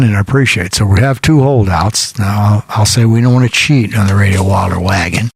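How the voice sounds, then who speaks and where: A man's voice: fairly low pitch, slightly rough, no echo. A man hosting an internet rock radio show, chatting in a rambling, folksy, off-the-cuff way and repeating his phrases in a studio. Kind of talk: casual, ad-libbed rock radio DJ chat.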